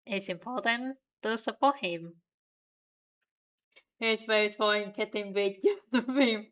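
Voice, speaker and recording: A young woman from Vietnam with a Vietnamese accent, chatting to viewers in a relaxed, giggly way while she draws on stream. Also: medium pitch, slow pace. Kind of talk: casual stream chat while drawing.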